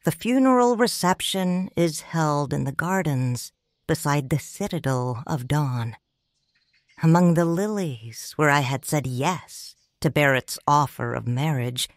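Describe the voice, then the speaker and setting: A woman's voice: sits low, no room sound. A woman narrating a first-person story audiobook in a grave, tender way, close to the mic.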